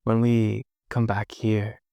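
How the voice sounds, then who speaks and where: A man leading a guided meditation in a soothing manner, pausing often between short phrases. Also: lowish voice, completely flat delivery, creaky, warm tone, smooth, no echo. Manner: slow, pausing, soothing delivery.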